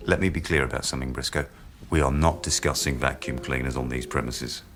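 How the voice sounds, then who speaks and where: An actor in a radio drama playing a pompous, vain, snobbish research boss with a sardonic, biting delivery. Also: male voice, very deep voice, fairly even intonation, fairly quick, fairly bright tone, soft background music. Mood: sour, scornful.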